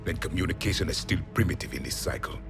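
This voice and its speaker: Deep, grave alien warrior. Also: male voice, fast talker, vocal fry, music in the background. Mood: bitter. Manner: grave, disdainful, clipped pronouncements. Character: proud, bitter alien warrior, last survivor of his race.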